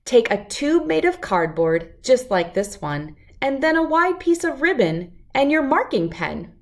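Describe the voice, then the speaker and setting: A middle-aged woman reading a rhyming picture book aloud to children in an expressive, deliberate, lilting way. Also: high voice. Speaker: children's read-aloud host.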